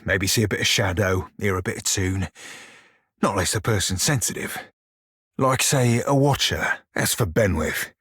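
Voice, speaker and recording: Roguish shiphunter, game character, rough husky voice, salty slang, cocky, dry studio sound. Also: male voice, medium pitch, a bit bright, dry recording. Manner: salty archaic sailor slang, cocky and teasing. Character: swaggering, foul-mouthed blue-furred male pirate shiphunter, roguish. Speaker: video game companion character. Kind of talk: video game character dialogue and barks.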